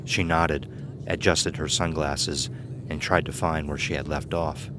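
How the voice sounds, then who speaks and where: A man's voice: a bass voice, fairly even intonation, unhurried, noisy background. A man narrating and announcing from a script for an audio drama. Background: music.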